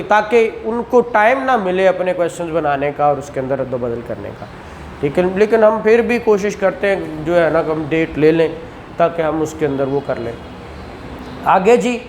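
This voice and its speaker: A man's voice: high voice, a little hiss or hum. A man, teaching a law class and explaining points with rhetorical questions and acted examples. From Pakistan. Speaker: law lecturer. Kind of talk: classroom lecture.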